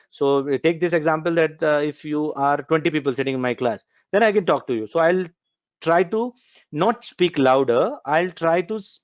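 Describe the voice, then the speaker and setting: Casting: male corporate trainer from India, halting, filler-heavy delivery, dry and dull sound. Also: on the high side, no room sound. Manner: hesitant, many fillers and restarts. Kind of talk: recorded training lecture.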